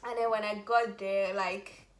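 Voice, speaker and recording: A woman's voice: mid-range voice, steady tone, a little slow. A young woman with a Nigerian accent, chatting casually and animatedly about student life, laughing often. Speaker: vlogger, international student. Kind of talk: casual chat. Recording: echoey room with faint ambience.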